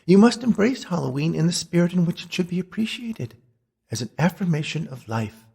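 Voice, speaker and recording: An earnest old woman's ghost, acted out by a male narrator, exhorting and solemn. The voice is pushed up high and swoops about, with a husky, creaky edge. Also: sing-song, a little fast, darkish tone, full character voice. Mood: solemn warning. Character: elderly woman ghost, earnest admonishing messenger.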